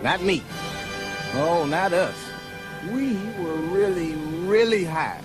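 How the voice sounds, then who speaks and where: Male storyteller, notably high pitch, slow delivery, music clearly underneath. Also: animated.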